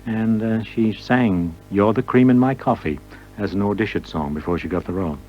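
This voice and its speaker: Low-voiced male music host, lo-fi sound. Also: normal speed. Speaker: radio music show host. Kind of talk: casual music commentary between records.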